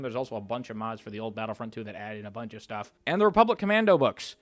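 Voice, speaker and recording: A man with an average-pitched voice presents fan lore commentary over background music. His delivery is informal and opinionated, leaning on emphatic, slangy wording as he addresses his viewers. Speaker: video essayist, channel host.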